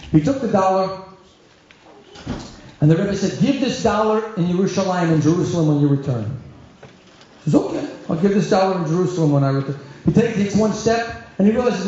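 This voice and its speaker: A man, a rabbi, telling stories to a congregation, acting out the dialogue with big swings in his voice. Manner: animated storyteller, acts out dialogue with shifting pitch. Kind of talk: storytelling talk to a congregation. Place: synagogue hall. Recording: very echoey hall, dull lo-fi sound.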